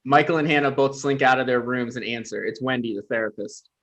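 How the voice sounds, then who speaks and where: A man's voice: mid-range voice, fairly quick, a bit bright. A man giving scripted narration, reading out the stage directions for a table read.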